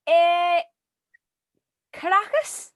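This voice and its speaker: An actress playing a brash, gold-digging young widow with a Liverpool accent, melodramatic and cheeky. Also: female voice, very high-pitched, expressive, drawn-out words, no echo, full character voice. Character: brassy gold-digging young widow faking exotic roots. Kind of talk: stage comedy acting.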